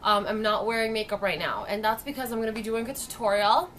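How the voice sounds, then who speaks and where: A young woman, slightly hoarse from a sore throat, talks casually through a makeup tutorial. She explains each step as she applies it. The sound comes from a webcam in her bedroom. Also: medium pitch, fast, fairly bright tone, a little hiss or hum. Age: young adult. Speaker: beauty vlogger. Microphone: webcam at desk distance.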